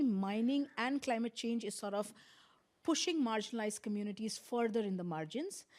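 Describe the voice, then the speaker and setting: A woman giving spoken testimony as a panelist, halting as she searches for her words. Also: on the high side, a little mellow. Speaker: panelist, community environmental health worker.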